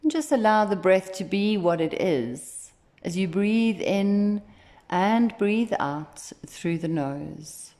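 A woman leading a guided meditation as instructor, speaking in a gentle, soothing, unhurried way. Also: medium pitch, expressive. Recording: echoey, roomy sound.

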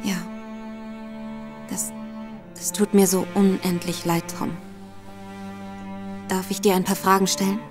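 Actress doing a sharp determined lady detective, smooth and persuasive, soft music behind. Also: mid-range voice, little pitch movement, a little slow, pauses often, close to an everyday voice. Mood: gentle. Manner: poised, persuasive. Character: sharp, determined woman investigator.

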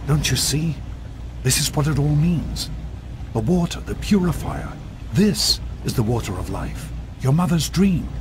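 An actor playing an earnest, caring middle-aged scientist father in a video game, speaking in a measured, articulate way. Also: mid-range voice, lightly acted. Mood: fervent. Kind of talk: scripted game dialogue. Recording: game audio with clear background noise.

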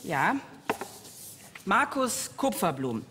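A woman's voice: mid-range voice, very animated, drawn-out words. A woman from Germany co-hosting an award show with scripted stage banter. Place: large hall on stage. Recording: reverberant hall, faint background noise.

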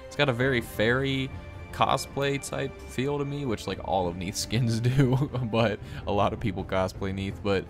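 A man's voice: medium pitch, normal speed. A young man hosting a gaming video, giving casual, rambling showcase commentary in an informal, easily impressed way. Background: game music.

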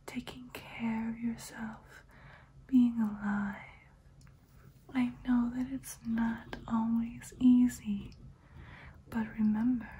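A young woman voicing a soothing, nurturing vampire in a breathy ASMR whisper, gentle and reassuring. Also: fairly high pitch, a little hiss or hum. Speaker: ASMR roleplay host. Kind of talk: whispered ASMR personal attention roleplay.

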